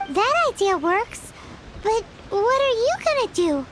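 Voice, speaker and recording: A woman voicing a tiny childlike fairy companion in a video game, squeaky, bossy and whiny. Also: very animated, measured pace, slight background noise, exaggerated voice, low-quality recording. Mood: skeptical. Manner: whiny, indignant, third-person self-reference. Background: game music.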